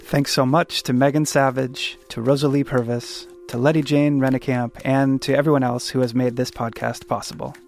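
A man's voice: mid-range voice, little pitch movement, normal speed, hardly any pauses, a little mellow, faint music behind. A man hosting a podcast in a reflective, casual conversational style. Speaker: podcast host.

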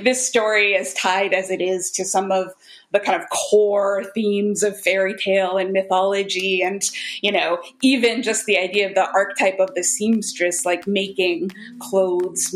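A woman with a slightly low-pitched voice speaks as a guest in a literary interview. She is a writer and teacher who thinks aloud in long, articulate, reflective stretches. Her talk is lightly sprinkled with laughter. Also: slight background noise, poor audio.

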